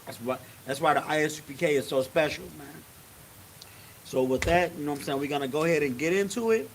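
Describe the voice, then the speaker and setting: A man's voice: a little high, quick pace, rough recording. A man leads a service in a loose, chatty way, peppering every line with "you know what I'm saying". A faint hiss runs under the recording. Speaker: religious teacher leading a service. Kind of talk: casual sermon.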